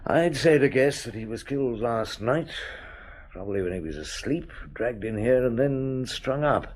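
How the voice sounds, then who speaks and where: An actor playing a shrewd, weary police chief inspector in a radio drama, measured and authoritative. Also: male voice, medium pitch, expressive, natural voice. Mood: grim.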